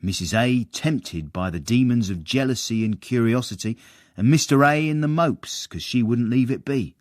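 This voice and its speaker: A man's voice: medium pitch, animated, normal speed, dry recording, studio quality, close to an everyday voice. An actor playing a wry, discreet British manservant, confidingly narrating his tale in a period comic radio drama.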